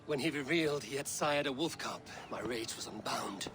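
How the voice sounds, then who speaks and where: An actor playing a solemn, intense Norse warrior in a cinematic video game, speaking in grave, deliberate, formal phrases. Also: male voice, medium pitch, noisy background, lightly acted. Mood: brooding. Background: music.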